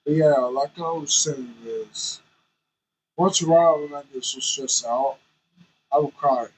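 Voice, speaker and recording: Trucker vlogger, male, rambling and candid, slightly high voice, talks slowly from his truck cab. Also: slight background noise, low-quality recording. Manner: rambling, candid, self-conscious. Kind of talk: casual chat while driving.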